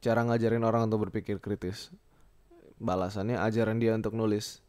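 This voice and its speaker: A young man talks casually alone as a podcast host, rambling and halting as he thinks aloud and repeats himself. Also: on the low side, level tone, a little mellow, smooth, dry recording. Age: young adult. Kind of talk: casual solo podcast monologue.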